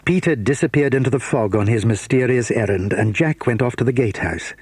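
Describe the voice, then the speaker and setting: A man narrating a children's audio drama in measured storytelling style. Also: mid-range voice, darkish tone, husky, no room sound.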